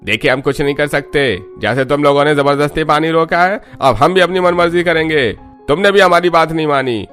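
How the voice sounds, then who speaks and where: Raised male pitch, actor doing worried villager, earnest, insistent, cartoon dialogue, faint music. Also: a little fast, hardly any pauses, a bit bright, close to an everyday voice. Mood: firm, aggrieved. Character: worried, insistent village men arguing over water. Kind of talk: Hindi cartoon story dialogue.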